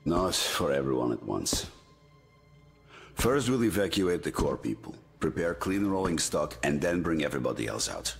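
A very low-voiced, gruff general in a game drama. He is world-weary and commanding, with swings in his delivery, heard in a long reverb over music. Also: very animated, moderate pace, heavily acted. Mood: grim, weary. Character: weary, hard-bitten male general, commanding and pragmatic. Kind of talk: dramatic video game dialogue.

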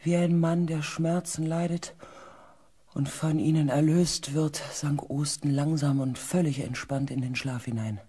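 A man playing an earnest, compassionate expedition researcher who tells his story gently and deliberately in a sci-fi radio play. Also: fairly high pitch, steady tone, husky. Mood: calm narration. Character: earnest, compassionate male space-expedition researcher recounting events. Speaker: narrator and character in a radio play. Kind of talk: German sci-fi radio drama acting.

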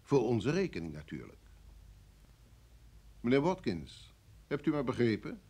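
An actor playing a senior security chief in an old radio drama, brusque and formal, with a husky voice and an authoritative manner. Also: male voice, mid-range voice, wildly swooping pitch, warm tone, heavily acted. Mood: firm, persuasive. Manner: authoritative, formal, measured phrasing. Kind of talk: vintage Dutch radio drama acting.

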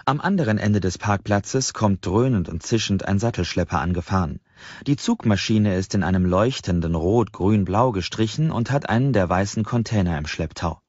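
A man reads a novel aloud as an audiobook narrator. His voice is fairly low and husky. The recording sounds roomy and a little dull. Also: moderate pace, a little mellow. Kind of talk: scripted first-person novel narration.

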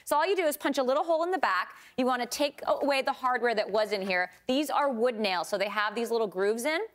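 A woman's voice: fairly high pitch, lively intonation, quick pace. A woman in her thirties, upbeat and chatty, demonstrating home DIY projects as a guest on a daytime TV show. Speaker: lifestyle expert guest. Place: TV studio set with live audience.

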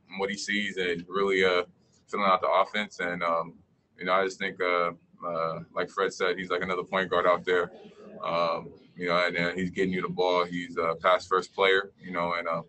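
A young man, a pro basketball player, answering post-game press questions over a video call, halting with many fillers. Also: deep voice, bright, forceful tone. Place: indoor media room.

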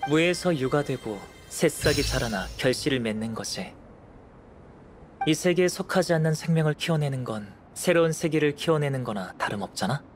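Man playing a calm young alchemist, music behind. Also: a little high, natural voice. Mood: contemplative. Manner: measured, philosophical, soft-spoken. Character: calm, pensive young male alchemist scholar. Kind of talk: anime game voice acting. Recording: clean studio voice over background music.

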